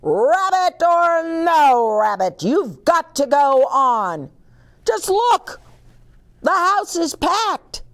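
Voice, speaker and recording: A woman voicing a loud, pushy male theater manager in a storybook, talking in an insistent way. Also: very high voice, sing-song, very slow, voice pushed up, full character voice.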